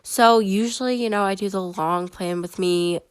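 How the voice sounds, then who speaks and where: A woman's voice: mid-range voice, normal speed, clear, resonant tone, dry recording. A woman with a stuffy, head-cold voice, chattily narrating a voiceover for her bullet journal video. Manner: chatty and excitable, many fillers.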